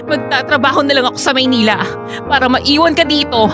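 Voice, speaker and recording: A woman's voice: high-pitched, fairly quick, music in the background, lightly acted. An actress playing a distressed woman confronting her drunk partner in a radio drama, pleading and then accusing him sharply. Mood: furious.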